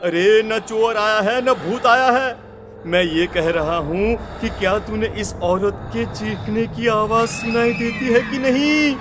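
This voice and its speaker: A man's voice: high-pitched, slow. A man playing a nervy village farmer in a horror audio story, speaking in urgent exclamations. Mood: alarmed, urgent. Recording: clearly noisy background.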